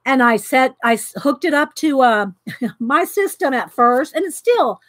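Loud, chatty high-voiced woman. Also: expressive, a little slow, dry recording. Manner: loud, chatty, laughs often. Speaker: craft video host. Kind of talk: casual live craft chat. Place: home craft room. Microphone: overhead camera pointing down at desk.

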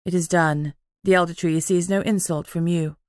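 An ancient, grave dryad of the trees intones formally in a fantasy audiobook, as a woman narrator puts it on. The voice is on the low side and recorded dry. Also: steady tone, moderate pace, a little mellow, no echo, lightly acted. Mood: solemn.

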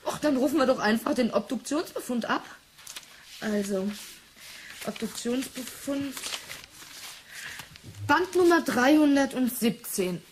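A fairly high-pitched female assistant, eager and informal. She chats casually in an eighties radio play, over a faint layer of noise. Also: expressive, a little slow, long pauses. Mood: practical. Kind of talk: 1980s German radio drama acting.

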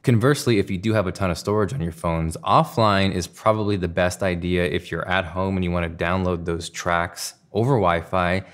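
A man with a deep voice hosts a tech review. He speaks to the camera in an enthusiastic, emphatic way, as someone who is explaining gear from a studio desk. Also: steady tone, moderate pace, hardly any pauses. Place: indoor studio room at a desk.

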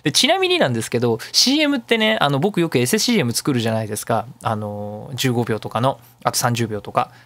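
Male radio host, quick and chatty, lively swinging intonation, rambling explanations. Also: mid-range voice, no room sound. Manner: chatty, digressive, explains at length with self-mocking asides. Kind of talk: casual solo radio talk.

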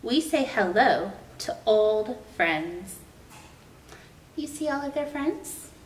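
A young woman reads a picture book to small children in an expressive storytime style. She takes her time with each phrase. The recording is roomy, picked up at a distance from the mic, with faint noise. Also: a little high, takes it slowly. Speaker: library storytime host reading aloud. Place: indoor room with painted mural.

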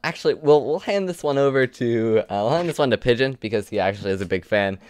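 A young man hosting a mock geography quiz on a voice call, deadpan and mock-formal. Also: medium pitch, fast talker, crowd noise. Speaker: quiz host.

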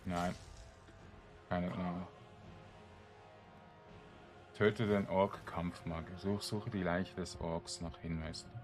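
A man giving casual gameplay commentary, thinking aloud in short, halting phrases. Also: deep voice, fairly even intonation, faint music behind. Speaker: let's play streamer.

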